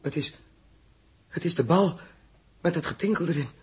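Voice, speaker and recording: A man's voice: on the high side, moderate pace, darkish tone, slightly rough. An actor playing a weary, bewildered and anxious man in a 1960s Dutch radio play, speaking hesitantly and repeating himself. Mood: dazed, agitated. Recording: 1961 radio recording, music behind.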